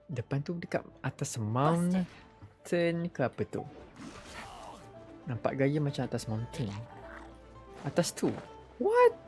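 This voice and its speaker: A man's voice: a little high, huge pitch swings, measured pace, long pauses, darkish tone. A Malaysian-accented man giving casual live game commentary, talking to himself in short slangy exclamations. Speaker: game streamer. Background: game music.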